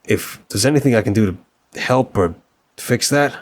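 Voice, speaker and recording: A man's voice: medium pitch, moderate pace, close to an everyday voice. A man playing an affectionate, apologetic young mafia boss boyfriend, speaking earnestly and politely with hesitant stammers in an intimate roleplay audio drama. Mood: sheepish, candid.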